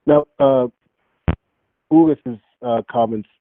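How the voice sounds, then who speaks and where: A man's voice: medium pitch, slow pace, a little hiss or hum. A man asking polite, conversational questions as a reporter on a press call. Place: phone line on a teleconference. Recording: narrow muffled telephone conference line.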